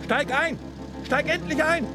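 Gloating showman crime boss, really high voice, drawn out, sneery fake polite, radio drama. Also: unhurried, over loud music. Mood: urgent, commanding. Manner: theatrical, sneering, mock-polite. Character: gloating, mocking male master criminal posing as showman. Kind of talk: German radio drama acting.

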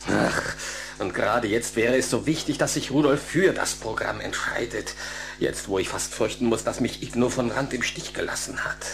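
A man's voice: medium pitch, normal speed, creaky, noisy background, natural voice. A man playing an earnest, kindly, busy psychologist in a children's radio play, eager and emphatic. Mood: worried. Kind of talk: German children's audio drama acting.

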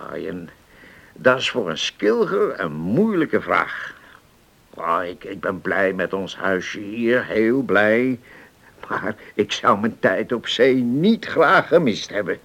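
A man's voice: lowish voice, big pitch swings, slow pace, vocal fry, fairly bright tone, exaggerated voice. An actor playing a genial older retired seaman in a radio drama, musing and repeating his words. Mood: musing, contented. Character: genial old retired seafarer, contented and reminiscing.

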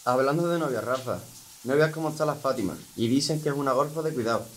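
Boy acting a quick, dismissive teen footballer. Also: medium pitch, a little hiss or hum, close to an everyday voice. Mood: leering, mocking. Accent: Andalusian Spanish. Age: teens. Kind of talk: amateur radio drama acting.

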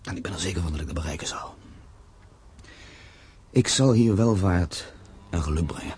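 A man's voice: deep voice, moderate pace, darkish tone, slight background noise, natural voice. A man acting in a Dutch radio drama as a proud, grieving castle owner, speaking solemnly and emphatically. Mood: resolute, idealistic.

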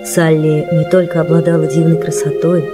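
A woman's voice: sits low, flat delivery, normal speed. A woman reading scripted narration for a dramatised radio play. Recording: music bed under studio voice.

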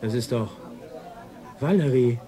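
Male actor as sardonic pub regular, police colleague, radio drama, medium pitch, gruff, grumpy. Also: unhurried, warm tone, a faint crowd, close to an everyday voice. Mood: indignant. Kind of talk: naturalistic radio drama dialogue.

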